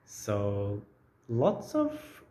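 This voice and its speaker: Rambling man, mellow low voice. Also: wildly swooping pitch, drawn-out words, slight background noise. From Australia. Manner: rambling, thoughtful, hesitant with many fillers. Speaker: language-learning vlogger. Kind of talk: unscripted casual talk to camera. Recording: roomy echo.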